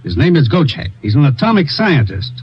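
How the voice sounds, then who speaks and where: An actor playing a brisk, authoritative male commissioner giving orders in a 1950s radio drama. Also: fairly high pitch, very animated. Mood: stern. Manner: crisp, businesslike, authoritative. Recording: dull old radio broadcast.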